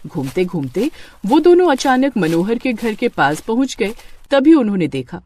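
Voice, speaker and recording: Animated woman story narrator. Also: fairly high pitch, normal speed, a little hiss or hum. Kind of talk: scripted narration of a moral story.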